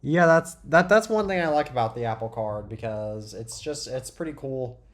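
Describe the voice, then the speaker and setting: A man's voice: medium pitch, animated, moderate pace. A teenage boy with a cold hosting a casual tech podcast, chatting and often restarting his sentences. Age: teens. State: sick with a cold. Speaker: podcast host.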